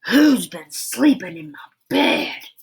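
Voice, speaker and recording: A woman voicing a big daddy rhino who roars loudly. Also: mid-range voice, very animated, takes it slowly, husky, exaggerated voice. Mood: roaring. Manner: loud, roaring.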